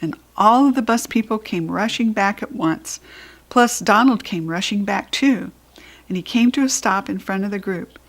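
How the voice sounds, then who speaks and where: A woman with an American accent narrating a children's storybook expressively, with animated, dramatic emphasis. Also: mid-range voice, normal speed. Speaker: storybook reader.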